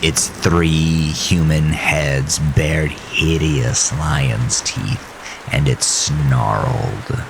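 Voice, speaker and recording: A man narrating a first-person horror story in a deliberate, suspenseful, dramatic way with a gravelly voice. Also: a bass voice, steady tone. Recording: rain and storm noise behind voice. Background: rain, storm ambience.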